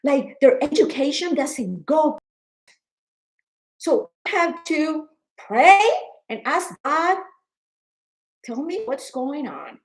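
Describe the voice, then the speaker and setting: A woman in her forties speaks to the camera in a personal video diary, her voice slightly higher than most. She talks earnestly and emotionally, doubling back on words and rising in energy when something frustrates her. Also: big pitch swings, takes it slowly, pauses often, poor audio. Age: 40s. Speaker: vlogger. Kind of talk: personal vlog talking to camera.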